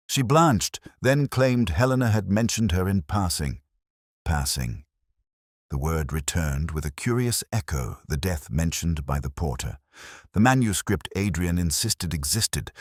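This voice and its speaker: A man narrating a scripted detective story in the first person, with a measured, reflective, literary delivery. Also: rumbling low, dry recording, studio quality.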